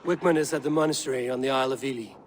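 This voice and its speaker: A man playing a terse, steady Viking warrior in a video game. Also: mid-range voice, rapid-fire, slight background noise, close to an everyday voice. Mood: resolute. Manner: terse, direct. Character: steady, blunt male Viking warrior investigating a betrayal. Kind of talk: game voice acting.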